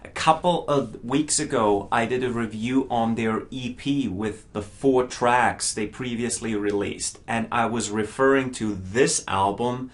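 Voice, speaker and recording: A man's voice: mid-range voice, unhurried, few pauses. A middle-aged man whose first language is not English, giving a casual, enthusiastic spoken album review and often stumbling over repeated words.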